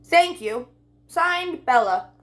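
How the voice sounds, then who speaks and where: A woman's voice: sits high, lively intonation, slow, fairly bright tone, exaggerated voice. A woman voicing a plucky, polite little girl from a picture book, with emphatic, courteous delivery. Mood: polite appeal.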